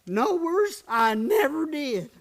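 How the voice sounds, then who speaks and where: A naive drifter of the old West, acted by a woman, speaking very slowly in folksy American speech. The voice sits high, and the manner is gentle and plain, as in a radio Western. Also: very animated, exaggerated voice. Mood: wistful, plain. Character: simple, gentle drifter man, a former Union soldier. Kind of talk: Western radio play acting.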